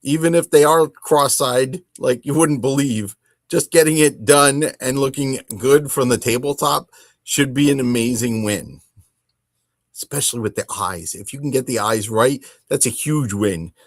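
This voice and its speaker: A middle-aged man talks as the host of a casual hobby podcast. His delivery is informal and meandering, with emphatic phrasing, in a medium-pitched voice. The sound is dry, with no room echo. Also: a little slow.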